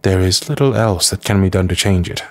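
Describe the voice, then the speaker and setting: A man playing a devoted, protective manservant to a noble lady in an ASMR roleplay, speaking formally, with measured deference and dry disapproval. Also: sits low, dry recording. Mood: calm, deferential. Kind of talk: scripted ASMR roleplay acting.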